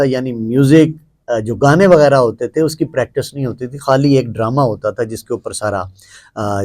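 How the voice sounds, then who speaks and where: A man with a Pakistani accent hosting a talk show, setting out an issue and putting questions with emphatic repeated phrases. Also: mid-range voice. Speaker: host.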